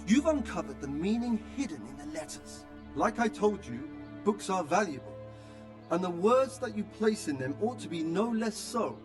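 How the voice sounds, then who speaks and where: A man's voice: high voice, big pitch swings, moderate pace, over music. A man playing a learned, encouraging teacher in a medieval video game, speaking in an erudite, theatrical manner. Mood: encouraging, instructive. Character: learned, encouraging male scribe tutoring a young man.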